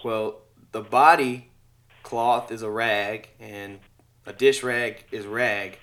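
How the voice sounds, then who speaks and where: A man's voice: lowish voice, steady tone, takes it slowly, a bit bright. A man hosting a casual podcast interview, rambling and chuckling between remarks. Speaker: podcast host.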